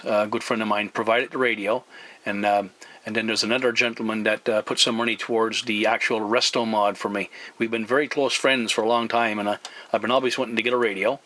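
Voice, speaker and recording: Bright-voiced man on CB radio. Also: fairly low pitch. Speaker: amateur CB radio operator and video host. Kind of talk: casual CB radio exchange.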